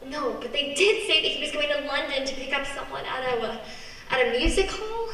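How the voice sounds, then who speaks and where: A woman's voice: high-pitched, expressive, fairly quick, fairly bright tone. A woman acting a feisty, stubborn young woman in a radio play, with spirited, argumentative delivery. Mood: breezy. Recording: echoey hall, distant mic, noisy.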